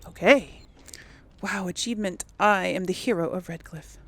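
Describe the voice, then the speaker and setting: A woman's voice: lowish voice, moderate pace, a bit bright. A woman doing casual role-play commentary over a video game, musing in short asides. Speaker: gaming video host.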